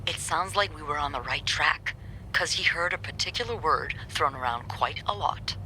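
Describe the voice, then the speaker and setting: An actress playing a wry, warm, teasing young hotel receptionist in a video game, with an expressive, conspiratorial way of talking. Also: female voice, sits low, normal speed, a little hiss or hum, low-quality recording. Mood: gossipy, playful. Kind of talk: naturalistic video game acting.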